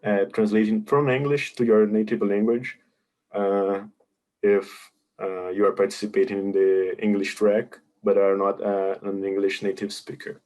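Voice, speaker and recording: A man's voice: lowish voice, level tone, normal speed, mellow. A man presenting a webinar, patiently explaining contest rules and answering questions over a video call. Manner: patient, explanatory, hesitant with many fillers.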